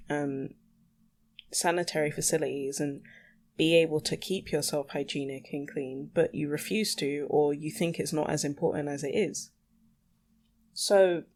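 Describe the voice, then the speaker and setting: A woman's voice: deep voice, darkish tone. A young adult woman hosting a solo podcast in a casual, candid, slightly exasperated rambling style. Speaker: podcast host.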